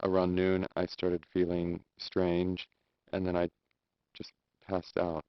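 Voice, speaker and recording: Self-conscious patient, very deep voice. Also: male voice, monotone, takes it slowly, clear, resonant tone, dry recording. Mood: uneasy, confessional. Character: subdued, self-conscious male hospital patient. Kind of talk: live-action game acting. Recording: dull lo-fi game video audio.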